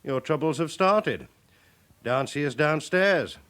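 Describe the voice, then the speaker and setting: Dry, formal British police chief. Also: male voice, a little high, expressive, takes it slowly, fairly bright tone. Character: senior police chief inspector, dryly authoritative. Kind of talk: radio crime drama acting.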